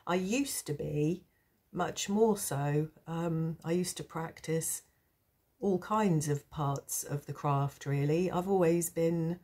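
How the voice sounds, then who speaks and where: A woman with a low, mellow voice roughened by a cold and sore throat. She chats casually as a vlog host, taking her time and pausing often. Her manner is reflective, hesitant and gently self-deprecating. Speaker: tarot channel host. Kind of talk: casual rambling chat to camera.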